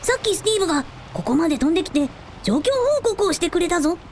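Very high-pitched boyish dragon prince. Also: female voice, animated, quick pace, a little hiss or hum. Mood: matter-of-fact. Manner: old-fashioned lordly speech with childlike openness. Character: young dragon prince in human form, archaic-talking, earnest, boyish. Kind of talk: Japanese radio drama acting.

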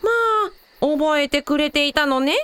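A woman playing an affectionate, perceptive mother with a gentle, expressive manner in a Japanese-style radio drama. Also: high voice, moderate pace, no echo.

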